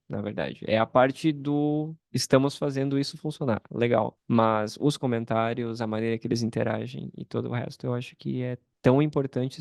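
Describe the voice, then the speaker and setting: A man's voice: mid-range voice, gravelly, a little mellow, no echo, studio quality. A man hosting a casual conversational interview, speaking informally and thinking aloud as he goes. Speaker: podcast host, interviewer and content creator.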